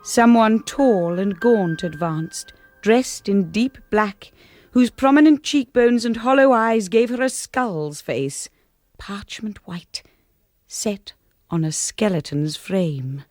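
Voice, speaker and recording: A woman's voice: medium pitch, takes it slowly, darkish tone, no echo, clean studio sound, soft background music, close to an everyday voice. A woman playing a shy, anxious young wife in a radio drama, with an English accent and a hesitant, polite manner. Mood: haunted, reflective. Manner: hesitant, polite, self-effacing. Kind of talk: radio drama acting with first-person narration.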